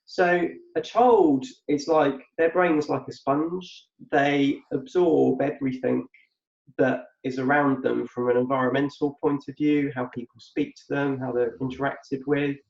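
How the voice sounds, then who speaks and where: Podcast guy, thinks out loud, repeats himself, kinda gravelly, dull roomy sound. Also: medium pitch, level tone, unhurried. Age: adult with a past business. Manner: thoughtful, repeats words as he thinks aloud. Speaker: podcast co-host. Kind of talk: reflective conversational explanation. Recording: muffled echoey room.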